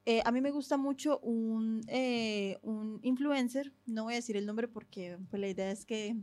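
High-voiced woman chatting hesitantly. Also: unhurried, a little mellow, dry recording. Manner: hesitant, many fillers and restarts. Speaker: podcast co-host. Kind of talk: casual conversation.

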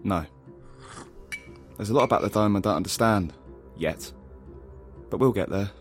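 An actor plays a protective male rebel in a science fiction audio drama, his voice on the low side with music underneath. He speaks in a steady, understated, earnest way. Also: moderate pace, close to an everyday voice. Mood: serious. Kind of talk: naturalistic audio drama acting.

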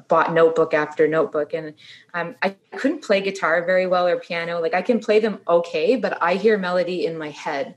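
A Canadian woman in her thirties speaks as an interview guest, her voice sitting on the low side. She tells her story in a loose, chatty way full of "um" and "like". She softens and drops quieter when a subject gets hard. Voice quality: low voice for a female singer. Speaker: guest singer-songwriter.

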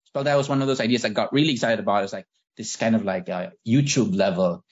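A man's voice: on the low side, fast talker, husky. Upbeat man talking loosely, muffled sound. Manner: loose, filler-heavy, upbeat. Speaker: co-guest. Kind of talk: casual interview. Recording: muffled lo-fi audio.

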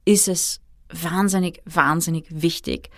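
A woman, calmly explaining retirement savings as an interview guest. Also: on the low side, unhurried, no room sound, studio quality. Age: 30s. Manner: explanatory, methodical. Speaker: finance expert guest. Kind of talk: interview answers.

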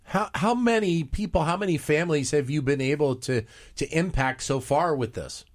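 A male host interviewing guests, halting and often restarting his questions. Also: fairly high pitch.

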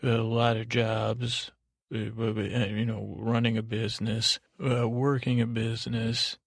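A man's voice: medium pitch, little pitch movement, unhurried, vocal fry, no room sound, studio quality. A man hosting a sleep podcast, rambling in a soothing, lulling tone with a hesitant, digressive, self-deprecating manner. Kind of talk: rambling, meandering bedtime monologue.

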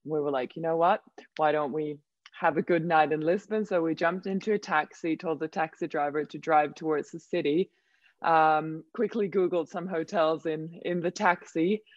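A woman's voice: low voice, steady tone, moderate pace, no room sound, dull audio. A young woman from Finland whose first language is Swedish, a model chatting as an interview guest and telling stories with lots of "like" and "um". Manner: chatty, storytelling, frequent "like" and "um". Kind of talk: casual interview chat.

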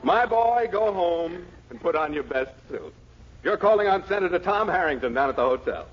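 An actor playing a brash, calculating, crooked political boss in a 1940s radio comedy. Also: male voice, high voice, lively intonation, moderate pace. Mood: scheming, commanding. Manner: brash, bossy. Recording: very noisy, dull 1940s radio recording.

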